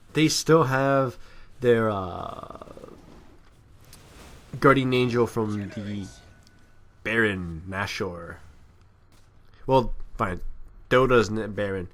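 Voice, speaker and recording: A man's voice: fairly low pitch, a bit bright. A man giving casual, amateur video game commentary in a rambling, self-deprecating way.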